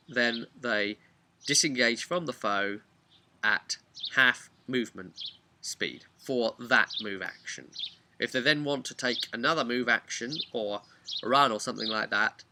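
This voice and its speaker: A man's voice: medium pitch, bright, forceful tone. A man hosting a tabletop gaming series, talking casually and emphatically to viewers as he explains things. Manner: conversational, emphatic, acts out imagined players' remarks. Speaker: host of a tabletop role-playing video series. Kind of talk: casual explanatory vlog talk addressed to viewers.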